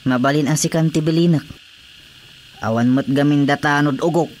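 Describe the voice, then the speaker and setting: A man's voice: a little high, moderate pace, darkish tone, close to an everyday voice. A man with an Ilocano accent playing a sociable village councilor in a radio drama comedy. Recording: faintly noisy radio drama track.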